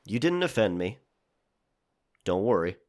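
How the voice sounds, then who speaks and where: A brooding pirate played by a man with a low voice. He is reserved and halting, guarding a hidden past, in the manner of an intimate audio drama. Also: sing-song, darkish tone.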